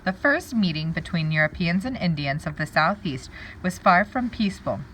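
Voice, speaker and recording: A woman teacher doing a read-aloud lesson, pausing to wonder aloud and explain words to her pupils. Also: lowish voice, lively intonation, fairly bright tone, a little hiss or hum, rough recording.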